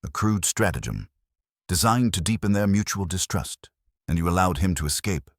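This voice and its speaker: A man voicing an imperious, brooding genius detective in an audiobook, speaking in a pompous, formal, deliberate way. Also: low voice, expressive, no room sound, clean studio sound. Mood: cold, reproachful. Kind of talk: audiobook character acting.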